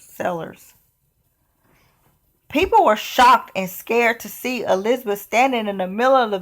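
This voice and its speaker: Female picture-book narrator, higher register, unhurried and deliberate, expressive read-aloud style. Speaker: storyteller.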